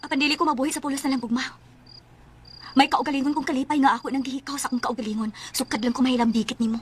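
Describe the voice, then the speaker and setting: A woman's voice: high-pitched, steady tone, racing, slight background noise, close to an everyday voice. A woman acting in a Filipino Bisaya radio drama as a weary, resentful wife who scornfully complains. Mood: resolute, anguished. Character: weary, bitter, resentful wife rejecting her stepson.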